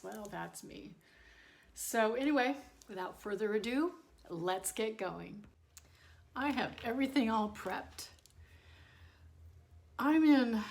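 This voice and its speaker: Hoarse woman demonstrating sewing steps. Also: mid-range voice. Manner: chatty, talks to viewers while working, self-correcting. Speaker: bag-sewing tutorial host. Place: home sewing studio by a window.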